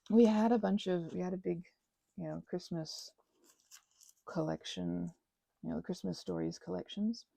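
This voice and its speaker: A woman rambling casually and unscripted while crafting, halting and wandering off on tangents, with excitable, gushing exclamations. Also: fairly low pitch, gravelly, no room sound. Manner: halting, digressive, with gasps and exclamations. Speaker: craft and ASMR channel creator.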